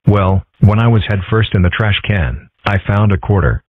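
A man's voice: lowish voice, lively intonation, normal speed, no room sound, narrow, tinny audio. A man voicing an earnest, thoughtful young fox boy in a cartoon comic dub, plainly and matter-of-factly. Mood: mildly resigned.

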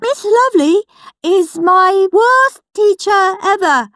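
Woman as an earnest, very high-pitched little boy. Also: lively intonation, slow pace, no echo, studio quality, exaggerated voice. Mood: proud, reciting. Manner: loud, careful recitation. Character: earnest goody-goody little boy reading his diary aloud. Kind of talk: children's audiobook character voice.

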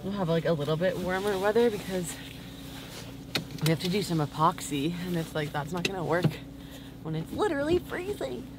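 A young woman vlogging casually. She thinks out loud and fills her talk with "like". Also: lowish voice, lively intonation, a little fast, heavy background noise. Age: young adult. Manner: chatty, thinks aloud with many likes. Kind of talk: casual chat. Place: small wooden boat cabin, indoors. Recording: music playing under the voice.

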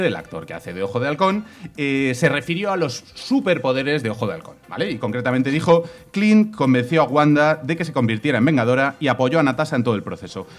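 Animated man hosting comedy podcast. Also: mid-range voice. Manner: jokey, animated, repeats phrases. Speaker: co-host. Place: small dark bar stage with live audience. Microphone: desk mic on boom arm.

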